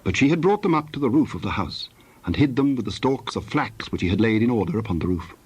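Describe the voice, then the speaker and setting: A man narrating scripture in a solemn, scripted storytelling style with a husky voice. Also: fairly low pitch, animated, fast, darkish tone.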